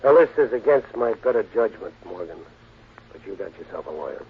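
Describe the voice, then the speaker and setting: An American actor playing a shrewd, tough, skeptical Chicago lawyer-detective in 1940s radio drama style. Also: male voice, fairly low pitch, very animated, a little fast. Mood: guarded, skeptical. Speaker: lead actor and narrator of a radio crime drama. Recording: dull, noisy 1940s radio recording.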